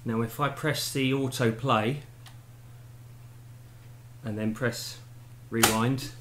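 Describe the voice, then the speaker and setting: A middle-aged man giving a casual, relaxed demonstration to camera with understated enthusiasm. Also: mid-range voice, slow pace, a little hiss or hum. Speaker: presenter of his own equipment demo. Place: small indoor home room. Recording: echoey room, music playing behind. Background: music from the tape machine.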